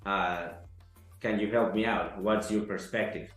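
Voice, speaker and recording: A man from Ecuador, giving an earnest, halting testimonial interview full of fillers. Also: fairly low pitch, fairly even intonation. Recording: echoey room, soft music behind.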